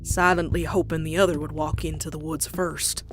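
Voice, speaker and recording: A woman's voice: low-pitched, little pitch movement, moderate pace, over music, close to an everyday voice. A woman voicing a plucky young country farm pony in a horror comic dub, with a folksy Southern US drawl. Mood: somber, uneasy. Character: young country farm mare, plucky, teasing, later uneasy narrator. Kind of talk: dramatic comic dub with narration.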